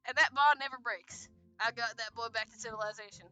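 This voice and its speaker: A woman's voice: mid-range voice, fast, bright, forceful tone, soft background music, poor audio. A woman voicing a grizzled male army veteran who tells grim survival stories in a solemn, folksy way. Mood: deadpan.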